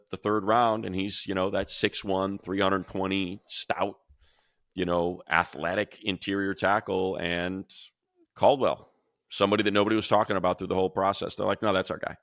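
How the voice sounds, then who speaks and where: A man's voice: low voice, flat delivery, moderate pace, fairly bright tone, dry recording, clean studio sound. A male radio sports announcer co-hosting a casual football podcast, chatty and rattling off long lists of player names. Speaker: radio play-by-play announcer, show co-host.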